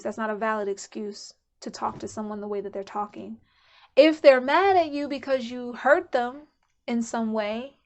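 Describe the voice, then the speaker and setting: A woman giving a casual pick-a-card tarot reading to camera, chatty and candid, thinking aloud as she goes. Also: on the high side. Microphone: camera mic.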